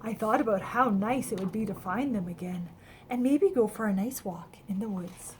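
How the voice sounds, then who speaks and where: A woman's voice: medium pitch, normal speed, a little hiss or hum, lightly acted, rough recording. A woman voicing a cheerful, naive little male snowman who chats wide-eyed through his adventure in a children's storybook. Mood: cozy, content. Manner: wide-eyed, chatty, animated. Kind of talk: children's storybook read-aloud.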